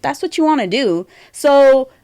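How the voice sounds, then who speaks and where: A woman in her thirties co-hosting a casual podcast. She talks candidly in a rambling, loose way, with a slightly high voice. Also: lively intonation, a little slow, dark tone, dry recording. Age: 30s. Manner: candid, rambling, laughs often. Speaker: podcast co-host. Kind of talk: casual chat.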